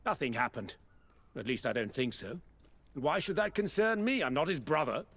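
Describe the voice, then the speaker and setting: A defensive, higher-voiced merchant. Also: male voice, lively intonation, moderate pace, a bit bright, husky. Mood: defensive, irritated. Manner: defensive, brusque. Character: evasive, grudging male merchant client owed money. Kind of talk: video game voice acting.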